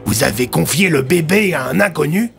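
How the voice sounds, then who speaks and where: Guy acting a gruff-edged police chief, creaky voice, serious and testy, music underneath. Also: a little high, lively intonation, moderate pace. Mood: stern, concerned. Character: serious, easily irritated male police commissioner.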